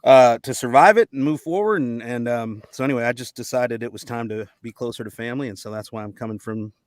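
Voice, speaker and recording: A man's voice: medium pitch, quick pace, dry recording. An American man chatting casually and appreciatively, an auditioning voice actor speaking from a home sound booth. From United States. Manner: effusive, appreciative, informal. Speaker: auditioning actor and voice-over performer. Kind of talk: casual, friendly chat.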